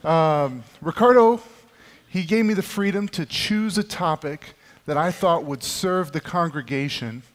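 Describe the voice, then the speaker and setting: A man in his early thirties preaches in a church hall with a long, ringing reverb. His voice sits a little high for a man, and he tells stories with self-mocking humor. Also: unhurried. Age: 30s. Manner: self-deprecating, humorous storytelling. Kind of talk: sermon.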